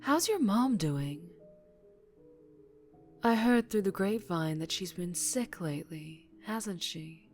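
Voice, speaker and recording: A woman playing a dangerously charming female mafia boss in an ASMR roleplay, teasing and condescending with quiet, possessive menace. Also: medium pitch, big pitch swings, slow pace, faint music behind. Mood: teasing, probing.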